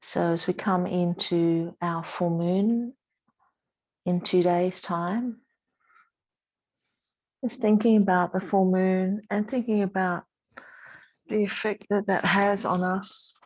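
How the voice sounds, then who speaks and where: A woman teaches yoga in a soothing voice that moves very slowly. She gives short, gentle cues and leaves space between them. Her tone stays flat and level, and the recording is slightly dull and lo-fi. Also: lowish voice. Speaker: yoga instructor. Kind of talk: guided yoga and relaxation instruction.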